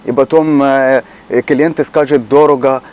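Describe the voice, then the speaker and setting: A man with an Egyptian Arabic accent, a native Arabic speaker, talking earnestly in casual chat and pausing to find words. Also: mid-range voice, steady tone, darkish tone. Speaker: shopkeeper.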